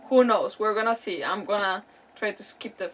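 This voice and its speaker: A woman's voice: mid-range voice, normal speed, soft background music. A woman giving casual, rambling game commentary as a streamer, often interrupting herself. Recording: distant mic, game noise behind.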